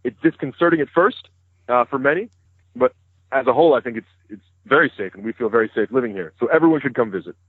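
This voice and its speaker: A man in his thirties whose first language is Hebrew talks quickly and matter-of-factly. His voice comes through a dry, tinny phone line. It has a husky edge. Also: medium pitch, expressive, dry recording. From United States. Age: 30s. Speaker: interview guest, news correspondent. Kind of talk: phone interview conversation.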